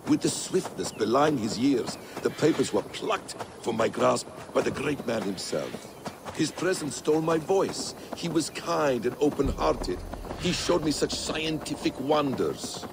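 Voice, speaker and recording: An actor playing a worldly, thoughtful warrior-scholar in a video game, with a measured, eloquent, poetic delivery. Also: male voice, mid-range voice, creaky, background noise. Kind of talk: dramatic video game acting.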